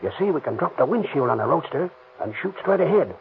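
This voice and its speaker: A ruthless tough-talking gangster. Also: male voice, fairly high pitch, sing-song, fast talker, vocal fry. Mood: menacing. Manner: slangy, bossy tough-guy talk. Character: tough scheming car thief, ruthless gangster. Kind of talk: 1930s radio acting. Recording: tinny old radio recording, faint hiss.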